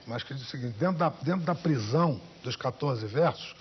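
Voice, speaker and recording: Laid back guy chatting about his songs, unhurried, muffled studio sound w a bit of hiss. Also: mid-range voice, lively intonation. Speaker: songwriter and poet guest. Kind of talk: relaxed interview talk. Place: television studio.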